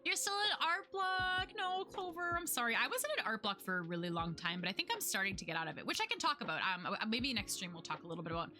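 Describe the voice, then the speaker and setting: A young woman streamer chatting with her viewers in an easy, rambling way, laughing often and using "like" as filler. Her voice is bright and a touch high, and it sounds a little worn from a long stream. Soft music plays faintly in the background. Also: big pitch swings, quick pace, few pauses. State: losing her voice. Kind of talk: casual chat with live chat.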